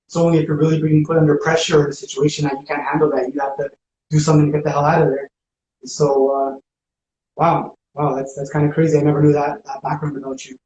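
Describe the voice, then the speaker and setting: A man's voice: on the high side, level tone, fast, darkish tone. A man talking casually and reflectively, in informal everyday language. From spent teenage years in Ecuador. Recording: roomy echo, faint background noise.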